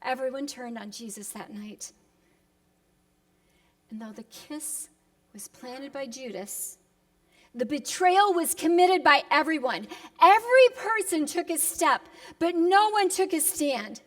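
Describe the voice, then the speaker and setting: A woman preacher giving a solemn, dramatic reflective sermon, deliberate with emphatic pauses, moving between hushed and impassioned delivery, in a reverberant hall. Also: sits high, expressive. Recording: long echoing hall reverb.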